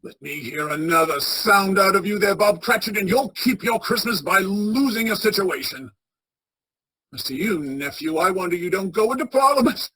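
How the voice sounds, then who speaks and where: A woman playing a bitter, miserly old male boss in a radio play, with a raspy, scornful, grumbling delivery. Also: mid-range voice, lively intonation, normal speed, a bit bright, low-quality recording. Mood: angry, barking. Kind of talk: theatrical radio play acting.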